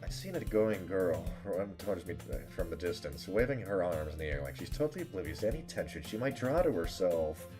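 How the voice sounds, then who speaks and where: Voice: sits low, lively intonation, brisk, few pauses, over music. A livestreamer chatting casually while gaming and reading game text aloud, playful, rambling and mock-dramatic.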